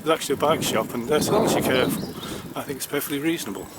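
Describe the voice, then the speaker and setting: A man's voice: on the high side, rapid-fire. A man from Wales, vlogging casually as he cycles, rambling with many hesitations but chatty and polite, recorded outdoors on a handheld camera mic. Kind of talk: casual vlog narration. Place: outdoors by a road and in a country park. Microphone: handheld camera mic, partly taped over. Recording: outdoor camera audio with traffic and wind noise.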